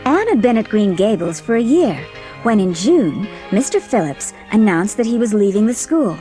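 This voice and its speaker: A woman's voice: medium pitch, very animated, normal speed, dark tone, faint music behind. A woman reading scripted storybook narration as the narrator of a dramatised audiobook.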